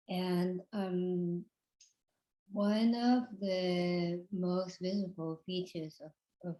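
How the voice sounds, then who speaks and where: A woman's voice: on the low side, mellow. Hesitant woman moderating a panel. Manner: frequent ums and uhs. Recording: echoey room.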